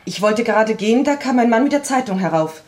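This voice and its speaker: A woman's voice: fairly high pitch, animated, fast talker, darkish tone, close to an everyday voice. A woman acting a polite, earnest, distressed secretary in a crime radio play. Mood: subdued, earnest.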